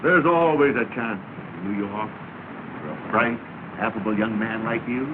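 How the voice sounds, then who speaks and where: An actor playing a kindly middle-aged father and host, speaking in a reassuring, measured way in a 1930s film. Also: lowish voice, close to an everyday voice. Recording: tinny hissy 1930 film soundtrack.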